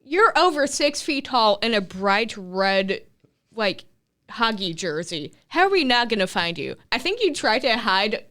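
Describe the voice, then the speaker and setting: A woman's voice: fairly high pitch, big pitch swings, unhurried, a bit bright. A woman of twenty co-hosting a podcast, telling a party story in casual, chatty fashion with plenty of fillers. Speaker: podcast co-host.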